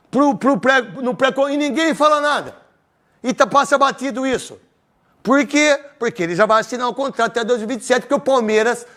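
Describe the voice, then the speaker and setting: A middle-aged Brazilian man hosting a sports talk show, loud, blustery and teasing. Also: extremely high pitch. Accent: Brazilian Portuguese. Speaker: host.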